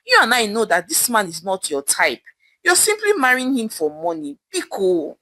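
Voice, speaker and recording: A woman's voice: on the high side, huge pitch swings, moderate pace, sharp tone, no room sound, full character voice. A woman voicing an anxious young roommate in a folktale, earnest and begging, with a Nigerian accent. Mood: pleading.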